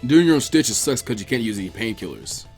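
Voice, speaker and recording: A man playing a gruff, matter-of-fact ninja squad leader in a story reading, blunt and casual. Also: medium pitch, very animated, a little fast, darkish tone, faint music behind, voice pushed down. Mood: blunt, assured.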